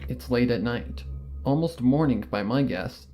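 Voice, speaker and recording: Man reading a story aloud, calm and measured. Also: medium pitch, music in the background. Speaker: fan fiction reader. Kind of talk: first-person audiobook narration.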